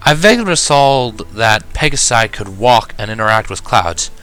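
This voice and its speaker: A man narrating a first-person story in an expressive, reflective and dramatic way. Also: on the low side, normal speed, smooth. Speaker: reader of fan fiction. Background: background music.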